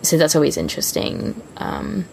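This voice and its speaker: A woman's voice: low-pitched, wildly swooping pitch, normal speed, gravelly, a little mellow, slight background noise, low-quality recording. A woman co-hosting a podcast, chatting casually and informally with gushing fan enthusiasm. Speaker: podcast co-host.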